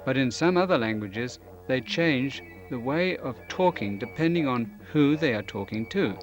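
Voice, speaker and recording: An older man presenting a scripted educational video, explaining in a clear, measured way, filmed in a studio. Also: medium pitch, animated, soft background music. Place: studio, dark curtain behind.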